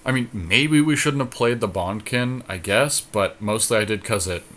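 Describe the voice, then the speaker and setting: Low-voiced man talking through a card game. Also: normal speed, a bit bright. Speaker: podcast co-host. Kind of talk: casual gameplay commentary on a card game draft.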